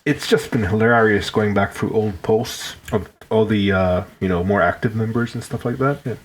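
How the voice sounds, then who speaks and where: A man's voice: on the low side, moderate pace, low-quality recording. A man with a Finnish accent who grew up in Hong Kong, a guest on a podcast chatting casually and joking, often restarting his words. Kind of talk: casual chat.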